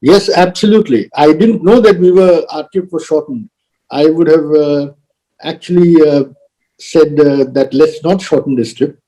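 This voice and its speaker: A man speaking as a guest on an online travel panel, measured and a little hesitant. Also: on the high side, warm tone, rough recording. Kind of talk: video call discussion.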